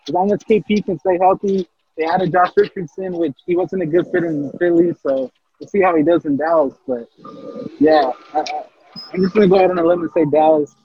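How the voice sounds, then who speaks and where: A man co-hosting a casual sports podcast, talking in an animated way with stammered restarts. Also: sits high, brisk, breathy edge, dull audio. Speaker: podcast co-host.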